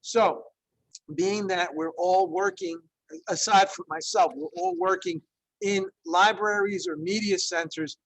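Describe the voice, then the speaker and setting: A man's voice: high-pitched, very animated, a little slow, vocal fry, fairly bright tone, dry recording, poor audio. A man in his fifties from Ecuador, a Spanish teacher leading an online workshop in a conversational way, with many fillers and frequent check-ins. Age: 50s. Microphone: video call.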